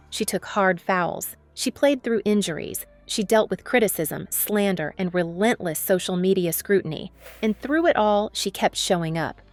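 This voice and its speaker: A woman's voice: medium pitch, no room sound. A woman delivering a dramatic, scripted sports news narration.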